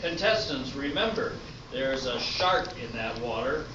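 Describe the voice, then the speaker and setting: A man's voice: medium pitch, expressive, unhurried, recorded from a distance, close to an everyday voice. A man playing a showy, patient game show host in an amateur radio play, speaking like an announcer. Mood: showy, upbeat. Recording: noisy, echoey, muffled stage recording from afar.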